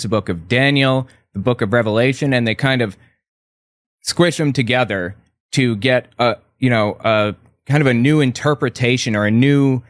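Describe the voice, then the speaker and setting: A man who is a guest author in an interview, explaining in a measured way with occasional hesitant restarts. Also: fairly low pitch, fairly bright tone, no echo, studio quality.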